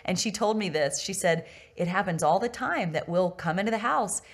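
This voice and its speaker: A woman with a fairly low voice hosts a relaxed, conversational interview. She sounds affirming and effusive, talking to her guest in an informal podcast style. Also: moderate pace. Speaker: interview host.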